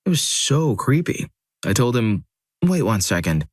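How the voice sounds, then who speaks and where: A man narrating a compilation of first-person stories in a steady, scripted reading, with dry, wry asides. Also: mid-range voice, measured pace, no echo.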